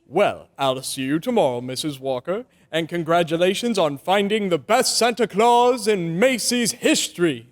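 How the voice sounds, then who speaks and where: A man acts out an effusive department store publicist in an old radio drama. He speaks high and exclaims eagerly, deferential to his colleague. Long reverb trails his words. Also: lively intonation, moderate pace, hardly any pauses. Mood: gushing, excited. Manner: gushing, exclamatory. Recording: reverberant hall.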